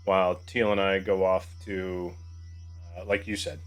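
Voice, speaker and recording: Guy with lowish voice playing an rpg, thinking out loud, kinda halting, bit of music under. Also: flat delivery, clear, resonant tone. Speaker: tabletop game player. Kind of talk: casual in-game planning and chat.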